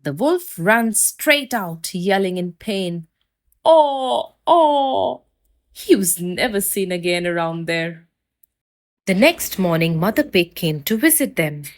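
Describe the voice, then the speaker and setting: A woman reading a children's fairy tale aloud with expressive storytelling. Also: fairly low pitch, a little slow. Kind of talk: children's read-aloud narration.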